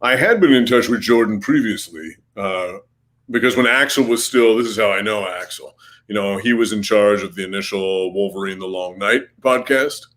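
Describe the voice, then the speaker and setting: A man guests on an interview podcast, talking casually about his work. His voice runs somewhat low. He jokes easily and often makes light of himself. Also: moderate pace, fairly bright tone. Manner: self-deprecating, jokey. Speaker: comic book writer, guest.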